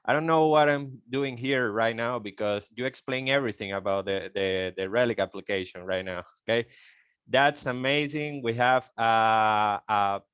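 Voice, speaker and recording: A Venezuelan man in his thirties, Spanish-native, talks slowly through a call presentation in a clear, smooth voice. The sound is dry and close. He halts, repeats words and keeps tagging "okay?". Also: on the low side, a bit bright, no room sound. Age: 30s. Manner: halting, repeats words, frequent "okay?" tags. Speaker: guest speaker on an online call.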